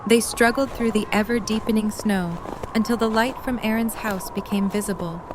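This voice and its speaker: A woman's voice: mid-range voice, fairly even intonation, normal speed. A woman reading scripted story narration for an audio drama. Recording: noisy storm ambience behind.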